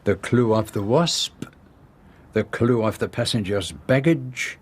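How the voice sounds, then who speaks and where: An actor playing a fussy, shrewd detective in a radio drama, with a French-speaking European accent and precise, formal phrasing. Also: male voice, fairly low pitch, animated, slow, breathy edge, exaggerated voice. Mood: quietly confident. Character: fussy, shrewd, courteous foreign male detective. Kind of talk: radio drama acting and first-person narration.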